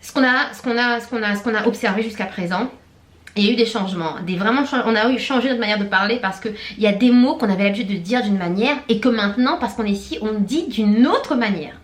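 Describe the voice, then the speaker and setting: Lively young woman. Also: on the high side. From Réunion. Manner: lively, laughing, quick asides. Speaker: vlogger. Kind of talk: chatty kitchen vlog. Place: home kitchen. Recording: echoey room.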